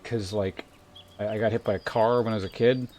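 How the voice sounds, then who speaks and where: A man's voice: fairly low pitch, fairly even intonation, a little fast, darkish tone, a little hiss or hum. A man live streaming a game, chatting casually with viewers in a rambling, polite way as he thinks aloud. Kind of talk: casual chat while streaming a game, reading viewer messages. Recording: music behind the voice.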